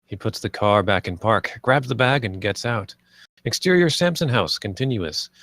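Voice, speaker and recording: A man's voice: on the low side, animated, moderate pace, a bit bright, no room sound. A man reading scripted stage directions as the narrator of a live screenplay table read.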